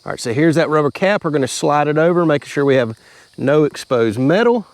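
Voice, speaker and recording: A man's voice: on the high side, lively intonation, brisk, dry recording. Man explaining radio tuning step by step. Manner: plain-spoken, step-by-step explaining. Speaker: channel host. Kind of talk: casual how-to vlog. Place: inside a vehicle.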